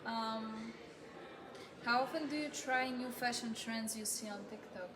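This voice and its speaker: A woman's voice: fairly high pitch, a little slow. A woman interviewer asking questions in a casual research interview. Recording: noisy room ambience.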